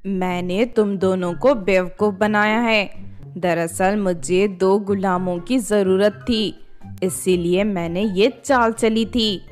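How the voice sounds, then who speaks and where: A woman's voice: medium pitch, steady tone, takes it slowly, darkish tone, faint music behind, pitched below the performer's own voice, natural voice. A woman voicing a scheming witch in a children's cartoon story, persuasive and bossy in manner. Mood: commanding, menacing. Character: scheming witch, sweet-talking then commanding her captives.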